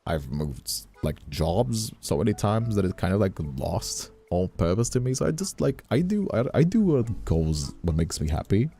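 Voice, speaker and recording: A young man from Brazil chatting casually on a livestream, halting and repeating himself as he checks for agreement. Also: lowish voice, sing-song, vocal fry, warm tone, breathy edge. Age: young adult. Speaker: streamer. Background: background music.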